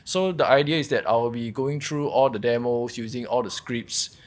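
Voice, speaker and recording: A man presents technical material at a conference workshop. His pitch sits in a middle range for a man. He explains step by step, somewhat haltingly, often repeating a word before going on. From Singapore. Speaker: workshop presenter, malware researcher.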